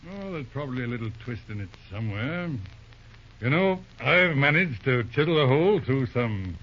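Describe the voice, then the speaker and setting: An actor in an old radio drama playing a shrewd, genial older judge who chuckles, hums and drawls thoughtfully. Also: male voice, mid-range voice, animated, normal speed, close to an everyday voice. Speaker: lawyer uncle. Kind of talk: 1930s radio drama acting. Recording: dull lo-fi old radio recording, faint hiss.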